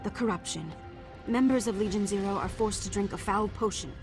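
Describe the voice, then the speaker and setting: An actress playing a wry, guarded undercover agent in a video game, with a dry, clipped delivery. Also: female voice, medium pitch, brisk, a little mellow, natural voice. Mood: awkward, worried. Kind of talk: video game character acting. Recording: prominent music behind the voice.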